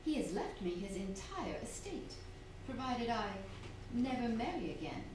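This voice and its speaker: An actress playing an educated, strong-willed young wife, genteel and emphatic, in a staged radio drama. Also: female voice, a little high, big pitch swings, normal speed, recorded from a distance. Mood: indignant, confiding. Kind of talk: staged old-fashioned radio drama acting. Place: theatre hall, distant microphone. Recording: echoey, noisy stage recording from afar.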